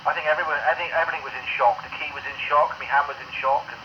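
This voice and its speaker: A man's voice: on the high side, fairly even intonation, racing, muffled recording. A man in his fifties chatting casually as an amateur radio operator, often repeating himself, heard over a radio link. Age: 50s. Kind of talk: casual two-way radio chat. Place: home radio shack. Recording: noisy, band-limited ham radio transmission.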